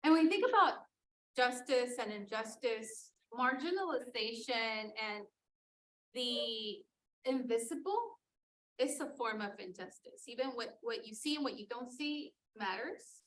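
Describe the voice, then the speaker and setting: A woman's voice: on the high side, animated, unhurried, a bit bright, slight background noise, low-quality recording. A woman teacher moderating a class discussion in a questioning, reflective manner.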